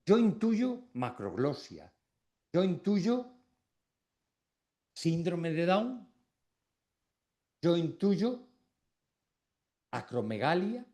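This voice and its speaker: Middle-aged man from Extremadura, formal lecture delivery, reflective and paused, very lively pitch. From Extremadura, Spain. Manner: reflective, with pauses between phrases. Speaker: academic giving an induction speech. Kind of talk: formal academic speech.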